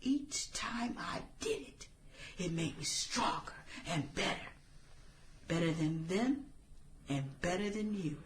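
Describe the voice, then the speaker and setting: A woman acting a bitter, vengeful former outcast turned killer in a radio drama, sarcastic and theatrical with drawn-out phrasing. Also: fairly low pitch, animated, slight background noise. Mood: menacing, smug.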